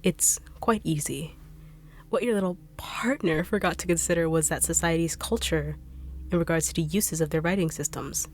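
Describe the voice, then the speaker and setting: Villainous female treasure hunter, voiced by a woman, low-pitched, smug, purring taunts, faint hiss behind. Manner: taunting, purring, slow teasing delivery with whispered asides. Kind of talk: audio roleplay drama.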